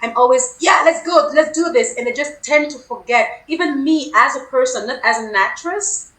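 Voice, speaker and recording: Bright-voiced woman with a French accent. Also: high voice, moderate pace, roomy sound, poor audio. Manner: animated, earnest, non-native English with small slips. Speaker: actress and guest. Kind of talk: casual conversational interview.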